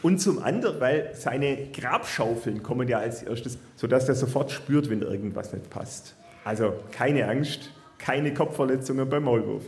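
A man with a medium-pitched voice gives an expert explanation for children. He is plain-spoken and reassuring, heard in a very echoey room. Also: normal speed, darkish tone. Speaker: zoology expert. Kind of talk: explanation for a young audience.

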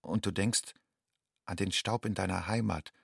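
A man playing a young undocumented student, an anxious outsider, in a measured, introspective radio drama monologue. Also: low voice, fairly even intonation, creaky, fairly bright tone, breathy edge, no echo, studio quality, heavily acted. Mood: tender, tense. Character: young illegal immigrant student, anxious, inwardly longing outsider.

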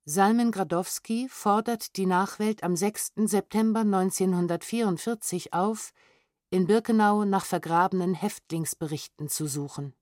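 A woman reading historical documents and testimony from a script, in a measured, sober, precisely articulated documentary style. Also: medium pitch, a little mellow, no room sound, studio quality.

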